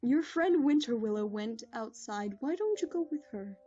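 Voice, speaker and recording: A woman voicing a wise, gentle royal mare who speaks in a calm, motherly way. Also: fairly high pitch, normal speed, close to an everyday voice. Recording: dull lo-fi audio with music.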